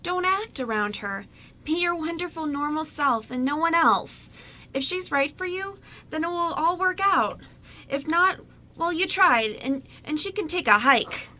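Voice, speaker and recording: Radio drama role: supportive young female best friend, acted by a woman, high bright voice, sweet and encouraging. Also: animated, a little slow, full character voice. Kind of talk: amateur radio drama script reading.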